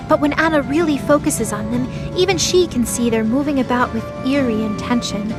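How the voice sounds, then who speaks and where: A woman reading dramatic scripted narration as the narrator of an audio drama. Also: sits high.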